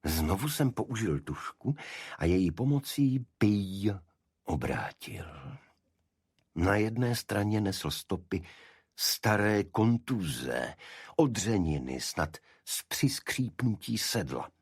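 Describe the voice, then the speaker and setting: A man with a low, husky voice narrates a scripted detective story. His phrasing is formal and expressive, and the recording is dry and close. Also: dry recording. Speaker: audiobook narrator.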